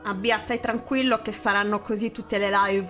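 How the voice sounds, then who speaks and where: A woman's voice: medium pitch, fairly even intonation, normal speed, a bit bright, faint music behind. A woman chatting casually and jokingly as part of a live reading cast.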